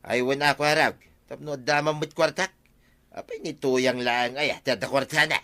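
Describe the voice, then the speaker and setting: A man's voice: mid-range voice, fairly even intonation, normal speed, sharp tone. A man playing an easygoing husband who likes drinking with friends, teasing and bantering in a comic radio drama. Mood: jovial.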